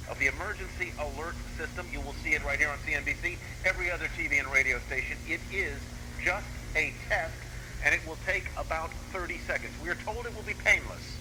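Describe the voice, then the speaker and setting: A man with a fairly high voice anchors live TV news in an unscripted way. The broadcast recording is clearly noisy. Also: fast talker.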